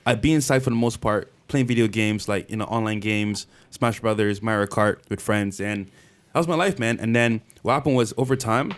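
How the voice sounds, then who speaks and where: A man from Barbados telling his life story as a podcast guest, in relaxed, chatty talk. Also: lowish voice, a little fast. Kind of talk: casual interview talk.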